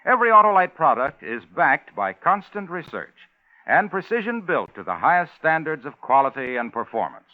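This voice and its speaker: A man's voice: a little high, very animated, normal speed. A man announcing scripted commercials in the style of 1950s radio advertising. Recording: tinny 1950s radio recording.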